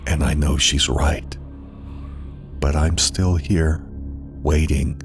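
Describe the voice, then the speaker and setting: Very deep-voiced male horror narrator. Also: steady tone, slow, music in the background. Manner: somber, suspenseful, dramatic delivery. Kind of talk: dramatized first-person scripted horror narration.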